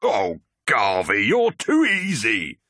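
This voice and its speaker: A man's voice: low-pitched, very animated, moderate pace, sharp tone, slightly rough, no room sound, studio quality, full character voice. A man voicing a jovial soldier in an audiobook, with a hearty, mocking, bantering way of talking. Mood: teasing, hearty. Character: jovial, teasing male soldier, hearty brother-in-arms.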